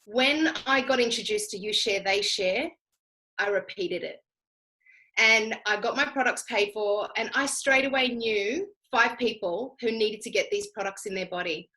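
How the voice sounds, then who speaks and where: A woman's voice: medium pitch, unhurried, sharp tone, low-quality recording. An Australian woman giving a chatty, emphatic personal testimonial, speaking directly to her listeners. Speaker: guest speaker telling personal story. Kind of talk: conversational testimonial storytelling.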